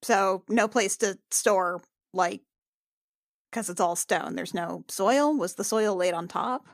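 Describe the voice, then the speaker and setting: A woman's voice: mid-range voice, takes it slowly, vocal fry, dry recording, clean studio sound. A woman playing a tabletop game, talking informally and hesitantly as she thinks aloud and describes her character's actions. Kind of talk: casual improvised game talk.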